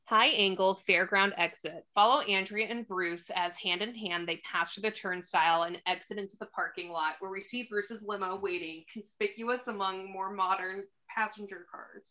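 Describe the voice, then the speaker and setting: Bright-voiced woman narrating a script. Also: mid-range voice, fairly even intonation, normal speed, hardly any pauses, dull audio. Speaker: narrator reading stage directions.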